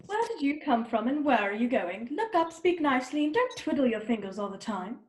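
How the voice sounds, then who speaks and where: A woman's voice: high voice, big pitch swings, a little fast, reverberant. A woman playing an imperious, scolding queen in a children's readers' theater performance. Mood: haughty, scolding. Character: bossy, haughty queen ordering a young girl about.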